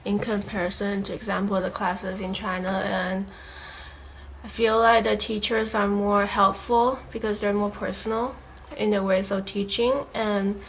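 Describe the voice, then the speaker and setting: Flat-voiced young woman answering casually. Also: medium pitch, measured pace, a little hiss or hum, low-quality recording. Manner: casual, reflective, with many fillers. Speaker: college student interviewee.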